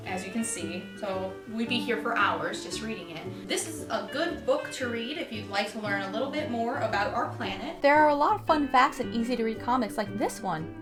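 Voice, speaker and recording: A woman hosting a children's story time, reading aloud expressively and explaining things simply to young listeners. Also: a little high.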